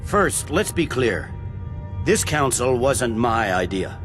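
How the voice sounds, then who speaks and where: An actor voicing a stern, impatient middle-aged general in a fantasy game, clipped and commanding. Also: male voice, medium pitch, big pitch swings, slow, music in the background. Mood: stern, angry. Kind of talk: dramatic fantasy game dialogue.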